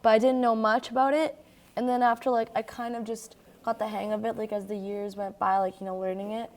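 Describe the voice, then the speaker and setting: A school-age girl from Brazil, native in Portuguese, speaks in a fairly high voice. She chats casually, circling through her stories with youthful fillers and halts. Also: flat delivery, fairly quick. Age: child or young teen. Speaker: young newcomer sharing experiences. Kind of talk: casual chat.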